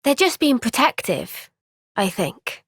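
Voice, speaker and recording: An actress voicing a gentle, kind and steady young woman soldier in a game's cutscenes, with a Welsh accent and a soft-spoken, caring, thoughtful way of talking. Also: high-pitched, slow pace, slightly rough, no echo, clean studio sound, exaggerated voice. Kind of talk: video game cutscene dialogue. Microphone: close studio microphone.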